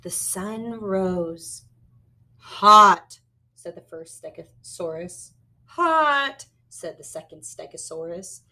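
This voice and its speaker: A woman reading a children's story aloud expressively and pausing to explain words like a teacher. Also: fairly low pitch, mellow. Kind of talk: animated children's read-aloud with word lessons.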